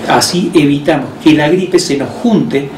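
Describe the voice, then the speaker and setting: An Argentine man, somewhat high-voiced for a man, explains health measures patiently, pausing often. His voice sounds distant, in a roomy space, with faint noise behind it. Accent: Argentine Spanish. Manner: measured, explanatory, with frequent hesitations. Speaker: hospital director.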